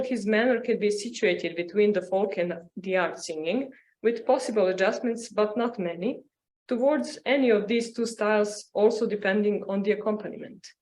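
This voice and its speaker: A woman's voice: mid-range voice, a little mellow, rough recording. Woman reading an academic paper. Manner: measured scholarly delivery with occasional stumbles. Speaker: academic presenter. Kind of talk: formal conference paper read aloud in English.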